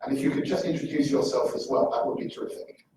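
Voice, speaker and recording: A man moderating a live panel discussion in a conference room, polite and a little halting as he calls on speakers. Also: mellow. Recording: echoey hall, distant mic, faint noise.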